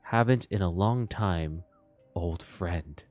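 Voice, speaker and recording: A man giving excitable live reaction commentary as a streamer, exclaiming and thinking out loud. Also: low voice, slow. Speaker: streamer reacting to a game story. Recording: game music behind. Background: game soundtrack and dialogue.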